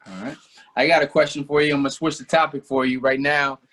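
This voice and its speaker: A man's voice: fairly high pitch, level tone, quick pace, a bit bright. A man from Albany interviewing a guest, speaking loosely with many fillers. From Albany, New York. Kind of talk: casual conversation.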